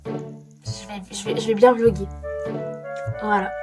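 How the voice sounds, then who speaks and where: A young woman, a little hoarse from a cold, chatting casually to camera in a rambling way, full of fillers and restarts. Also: medium pitch, flat delivery, slow pace, music in the background. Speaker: vlogger. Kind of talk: casual vlog chat to camera.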